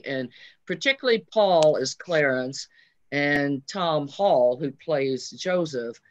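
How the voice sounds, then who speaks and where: A woman theatre director and drama teacher explaining her craft in an interview, instructive and emphatic. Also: low-pitched.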